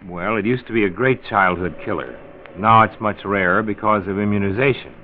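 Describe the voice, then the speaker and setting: An American actor playing an earnest, firm married doctor who explains things emphatically in a 1940s educational radio drama. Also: male voice, lowish voice, a little fast, close to an everyday voice. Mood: earnest, instructive. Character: earnest married male doctor, health commissioner, firm and concerned. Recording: tinny, noisy 1940s radio recording.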